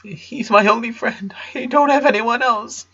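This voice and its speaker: Man doing a high, tearful young boy. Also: a little slow, sharp tone, husky, highs cut off, full character voice. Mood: despairing. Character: distraught, clingy young boy, grieving best friend.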